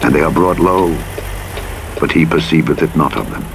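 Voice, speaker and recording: Deep-voiced man reading scripture over engine noise. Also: creaky. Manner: formal, measured, dramatic delivery. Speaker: Bible audiobook narrator. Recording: playback under loud truck engine noise. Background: truck engine and vehicle noise from a driving game.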